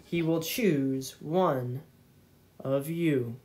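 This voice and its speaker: A man voicing a kindly, authoritative train conductor in a children's story, announcing in a measured way. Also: a little high, darkish tone. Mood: informing. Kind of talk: storybook character reading.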